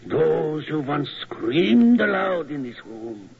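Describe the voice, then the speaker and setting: An actor playing a sinister, mad museum curator, speaking in slow, oddly ordered phrases, in 1940s radio acting. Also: male voice, a little high, big pitch swings, creaky, over a noisy crowd, full character voice. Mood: sinister courtesy. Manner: slow, halting foreign word order, cackling menace. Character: mad old German museum curator, sinister host turned gloating villain. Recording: dull old radio broadcast.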